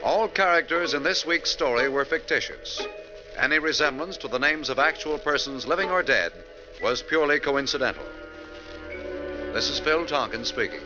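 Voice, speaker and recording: A man announcing on 1940s radio, reading scripted credits in a formal, measured manner. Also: a little high, lively intonation. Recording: muffled 1940s radio recording. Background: music.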